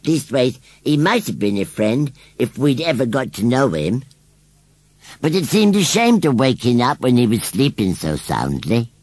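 Storybook scarecrow: rural English rustic, touchy and cheeky, raised pitch with wild swings, slightly husky. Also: male voice, big pitch swings, a little slow, a little mellow, dry recording. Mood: airy, pleased. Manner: rustic, emphatic, dialect-laden speech. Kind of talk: children's storybook character voice.

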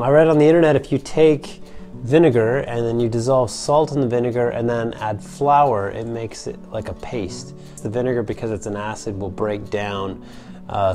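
A man in his thirties casually explaining a boat part repair in his vlog, with plenty of "uh" and "like". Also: mid-range voice. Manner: relaxed, explanatory, frequent "uh" and "like". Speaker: sailing vlogger. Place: basement workshop, indoors. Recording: music playing underneath.

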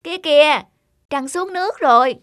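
A woman voicing an excitable young child monkey in a children's cartoon, with a childlike, exclaiming delivery. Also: high-pitched, normal speed, soft background music, lightly acted. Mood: indignant, squabbling. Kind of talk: animated children's fairy tale acting.

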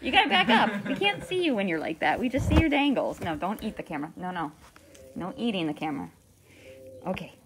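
A woman's voice: mid-range voice, sing-song, fairly quick, noisy background. A woman at an animal sanctuary speaking playfully and coaxingly to goats while holding a phone. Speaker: sanctuary worker. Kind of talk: casual talk to goats.

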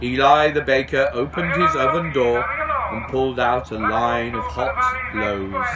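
A middle-aged man reading a children's storybook aloud outdoors, in an animated, expressive way. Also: medium pitch, a little slow, heavy background noise. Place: outdoors on a garden bench.

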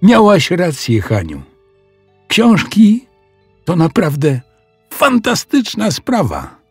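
An excitable storybook schoolboy, played by a man gushes in a high, loud voice with big swoops in pitch. He sounds proud and a little boastful. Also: takes it slowly, husky, no echo, faint music behind, full character voice. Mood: proud, excited. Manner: gushing, boastful.

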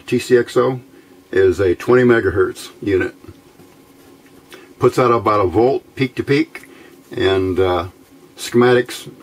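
A middle-aged man with a fairly low voice walks through a hands-on electronics build. He explains each step casually and without hurry, in the informal way of a hobbyist showing his work. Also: slightly rough. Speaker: amateur radio builder presenting a project. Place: indoor workbench.

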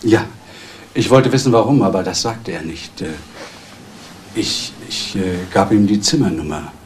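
A man as a low-voiced, officious hotel receptionist. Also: lively intonation, moderate pace, a little hiss or hum, natural voice. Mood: hesitant, reporting. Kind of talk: German crime radio drama acting.

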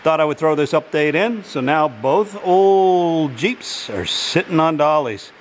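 A middle-aged man talking casually in a large pole barn workshop, relaxed and folksy with many filler sounds, picked up by a camera on a tripod. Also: fairly high pitch, measured pace, slight background noise. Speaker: channel host reviewing a product. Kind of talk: casual how-to vlog narration.

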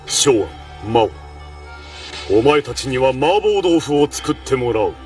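An actor playing a stern, dignified imperial governor judging food in an anime, speaking with solemn formal authority. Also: male voice, mid-range voice, lively intonation, a little slow, natural voice. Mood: grave announcing. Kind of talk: anime acting. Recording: distant sound under loud music.

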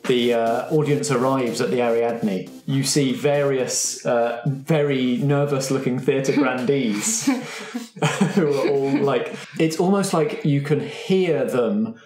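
A man's voice: medium pitch, takes it slowly, a little mellow, faint music behind. Male game master, mid-range voice, improvised game narration, roomy reverberant sound. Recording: echoey room.

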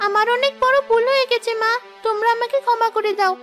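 A woman's voice: extremely high pitch, steady tone, fast talker, over loud music. A woman voicing a frail, pleading little girl in a cartoon, plaintive and childlike. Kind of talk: Bengali cartoon voice acting.

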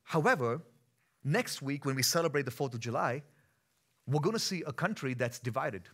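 Indian-accented man preaching with bright force. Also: medium pitch, animated, moderate pace. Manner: conversational, rising to forceful emphatic preaching. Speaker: pastor. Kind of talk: sermon. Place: dim church stage.

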